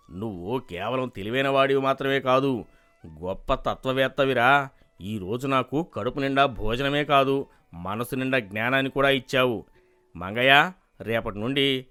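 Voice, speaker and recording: A man voicing a commanding village landlord with a grand, emphatic delivery in an animated moral story. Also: mid-range voice, smooth, lightly acted.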